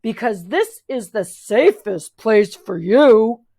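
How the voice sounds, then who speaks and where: A woman voicing a girl who puts on a gallant superhero voice, slow and grand. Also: on the high side, expressive, warm tone, full character voice.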